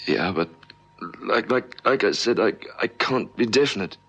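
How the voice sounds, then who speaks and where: An actor playing a shaken, apologetic young newspaper reporter in a radio drama, speaking haltingly with stammered repeats. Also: male voice, lowish voice, expressive, fairly bright tone, breathy edge, exaggerated voice, rough recording. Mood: unsure, apologetic. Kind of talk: 1970s radio drama acting.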